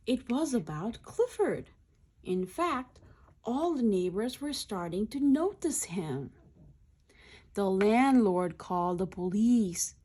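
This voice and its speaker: A woman's voice: medium pitch, animated, takes it slowly, dark tone, husky. A woman reading a children's picture book aloud in short, simple phrases, adding explanatory asides.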